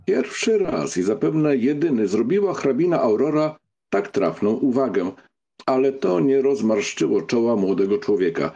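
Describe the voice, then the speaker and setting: A man reading literary fiction as an audiobook narrator, in an expressive storytelling style. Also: mid-range voice, moderate pace, vocal fry, dull audio.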